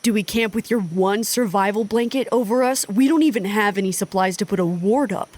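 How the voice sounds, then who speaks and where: A stubborn, driven woman on a hard journey, played by an actress, with a mid-pitched voice. She talks in clipped, impatient bursts and presses her point insistently, in naturalistic audio drama style. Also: a little fast, a little mellow, close to an everyday voice. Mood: stubborn, pressing. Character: driven, stubborn woman leading weary travelers, desperate and curt.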